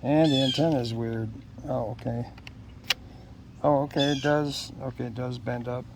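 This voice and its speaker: A man's voice: medium pitch, fairly even intonation. Man musing aloud over noisy background. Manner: halting, musing aloud to himself. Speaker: product unboxer. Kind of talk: casual unscripted unboxing narration.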